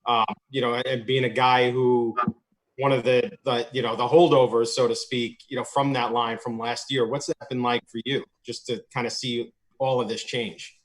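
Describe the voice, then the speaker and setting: A man's voice: mid-range voice, level tone, normal speed, poor audio. A man working as a reporter, asking a roundabout, rambling question on a video press call.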